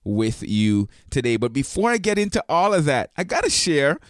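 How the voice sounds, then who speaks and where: Male podcast host, hoarse and chatty, fairly high voice, dry close mic. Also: big pitch swings, normal speed, no room sound. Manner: upbeat, chatty, jokes at himself. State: hoarse, deeper than usual from a throat problem. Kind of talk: casual podcast talk.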